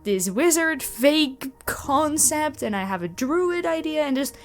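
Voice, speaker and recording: Woman, higher-pitched voice, expressive, enthusiastic, casual Q&A host, close mic, faint background music. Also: measured pace. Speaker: host of a voice acting channel. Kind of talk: casual question-and-answer video. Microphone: close USB microphone.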